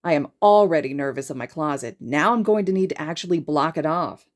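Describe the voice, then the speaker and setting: A woman's voice: low voice, expressive, a little fast. A woman voicing a boisterous, jittery teenage schoolboy in a story. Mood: jokey nervousness.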